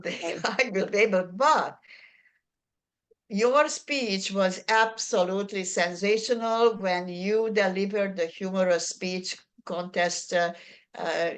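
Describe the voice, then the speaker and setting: Slow-talking Hungarian-accented older woman. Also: medium pitch, a bit bright, clear, resonant tone. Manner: chatty, self-deprecating, unhurried. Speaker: workshop presenter. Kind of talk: answering audience questions.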